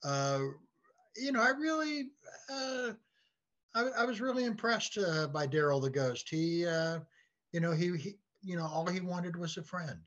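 A man chatting casually as a podcast guest, halting and often repeating his words. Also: high-pitched, animated, a bit bright. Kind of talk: casual chat. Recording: dull, lo-fi audio.

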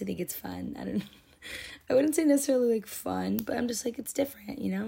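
Raspy young woman podcasting solo. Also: on the low side, a little mellow. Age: 20s. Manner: rambling, filler-heavy, confessional. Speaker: podcast host. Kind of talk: casual solo podcast monologue.

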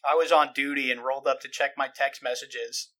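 A man's voice: medium pitch, steady tone, a little fast, pushed and bright, low-quality recording. A young man co-hosting a casual sports podcast, a teasing storyteller who laughs into his lines. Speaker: podcast co-host.

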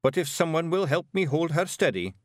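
A man's voice: a little high, big pitch swings, brisk, no room sound, clean studio sound, pitched above the performer's own voice. A man voicing a small, dim, literal-minded fairy warrior in an audiobook. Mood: matter-of-fact. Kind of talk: audiobook character voice.

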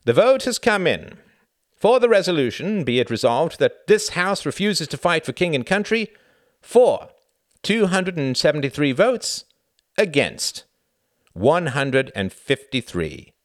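A man's voice: a little high, big pitch swings, measured pace, clean studio sound, voice pushed up. A man voicing a formal official in an audiobook, declaiming to a hall in a projecting manner. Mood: formal, booming. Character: official announcing a debate vote, formal and declamatory.

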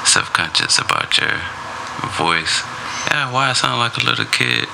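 A man's voice: mid-range voice, huge pitch swings, unhurried, gravelly, background noise. A man co-hosting a casual podcast, talking in a laid-back, slangy drawl. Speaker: podcast co-host. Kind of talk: casual chat.

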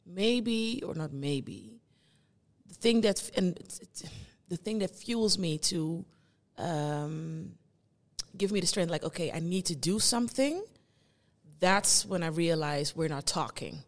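Slow, thoughtful young woman, echoey hall. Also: lowish voice, animated. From Netherlands. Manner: thoughtful, searching for words, many pauses and fillers. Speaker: guest performing artist. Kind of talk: reflective conversation.

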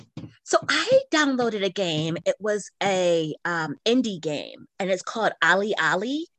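A woman's voice: medium pitch, sing-song, measured pace, a bit bright, a little hiss or hum. A middle-aged woman co-hosting a podcast, chatting casually about her hobbies in an informal way. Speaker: podcast co-host. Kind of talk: casual chat about video games.

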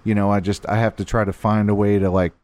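A man co-hosting a casual podcast, talking loudly and rambling with lots of "you know" as a parent of a young daughter. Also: lowish voice, level tone, fast talker. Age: young adult or middle-aged, parent of a nine-year-old. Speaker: podcast co-host. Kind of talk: casual chat.

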